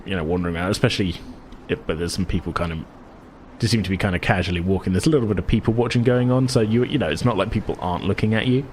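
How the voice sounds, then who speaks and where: A man's voice: sits low, rapid-fire, darkish tone, a little hiss or hum. A man running a tabletop game as game master, narrating casually in a rambling, self-correcting way.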